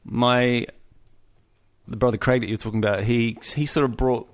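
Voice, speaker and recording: A man in his forties from New Zealand, a musician guest talking casually, halting with many restarts and fillers. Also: medium pitch, flat delivery. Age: 40s. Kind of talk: casual conversation.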